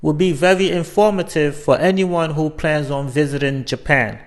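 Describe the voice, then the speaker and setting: A man's voice: a little high, fairly even intonation, moderate pace. A man acting as host, giving a brief upbeat introduction of a guest. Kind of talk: show introduction.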